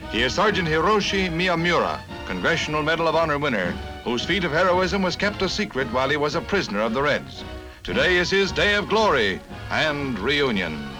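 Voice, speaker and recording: An American man narrates a vintage 1950s newsreel in a fairly high voice. His delivery is punchy and dramatic, with very animated intonation. Music plays clearly behind him on the old soundtrack. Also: rough recording.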